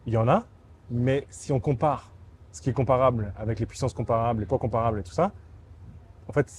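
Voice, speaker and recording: A man's voice: mid-range voice, fast talker, a little hiss or hum. A man interviewing a guest in a casual, chatty and enthusiastic way.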